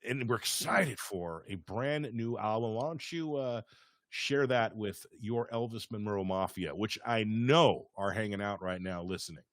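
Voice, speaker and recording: A man hosting a podcast in a casual, chatty interview style, upbeat, informal and excitable. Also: on the low side, a little slow, dry recording, clean studio sound. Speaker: podcast host.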